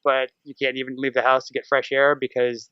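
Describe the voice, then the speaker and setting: A man's voice: mid-range voice, monotone, a little fast, clean tone, dry recording, muffled recording. An American man chatting casually as a podcast guest, rambling and acting out his own thoughts as he goes. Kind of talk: casual podcast interview chat.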